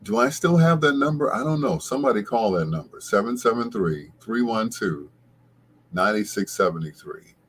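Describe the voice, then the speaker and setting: A middle-aged American man with a husky voice hosts casual late-night radio, rambling along like a reminiscing storyteller. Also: fairly low pitch, animated, moderate pace, a little hiss or hum. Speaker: radio show host.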